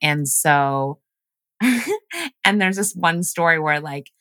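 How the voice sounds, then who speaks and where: A woman's voice: low voice, animated, slow, sharp tone, dry recording. A woman whose first language is Luganda, raised in Washington State, telling stories casually as a podcast guest with lots of fillers. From grew up in Washington State. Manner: chatty storytelling, many fillers. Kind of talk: casual conversation.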